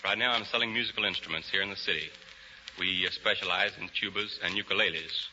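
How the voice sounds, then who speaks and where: Deep bass man on 1930s radio. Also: fast talker, sharp tone. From Texas, United States. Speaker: amateur contestant, bass singer. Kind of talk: 1930s radio interview answers. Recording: hissy, muffled 1930s radio broadcast.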